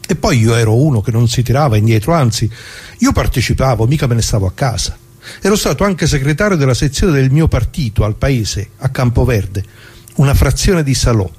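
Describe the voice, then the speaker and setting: An actor playing an elderly working-class Italian former bricklayer in a radio drama monologue, plain-spoken, halting and folksy as he reminisces. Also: medium pitch, animated, darkish tone, breathy edge. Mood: humble, welcoming. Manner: folksy, halting, with rhetorical tags.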